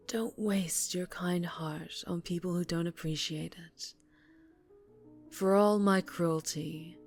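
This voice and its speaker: A woman playing a dangerously charming female mafia boss in an intimate ASMR roleplay, ruthless yet tender, speaking close and hushed with condescending affection. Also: fairly low pitch. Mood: tender, protective. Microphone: close-up microphone.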